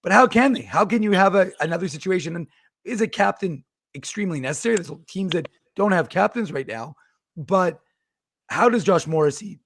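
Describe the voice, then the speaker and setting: A hoarse man co-hosting a sports talk show, his voice high and gravelly from losing it. He rambles as he builds his questions out loud. The sound is dry and close. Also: animated, a little fast, no echo. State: hoarse, has lost his voice. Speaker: co-host.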